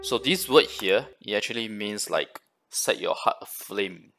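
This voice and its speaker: A man's voice: fairly low pitch, expressive, measured pace, a bit bright, soft background music. A man hosting a gaming reaction video, giving casual, analytical commentary in a conversational way.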